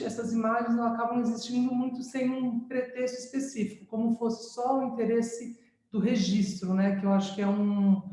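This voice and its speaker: A woman's voice: medium pitch, mellow. Thoughtful woman on an echoey video call. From France, raised in São Paulo. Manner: thoughtful, searching for words, frequent tag questions. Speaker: visual artist presenting her work. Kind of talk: informal talk over a video call. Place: her art studio. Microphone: video call microphone.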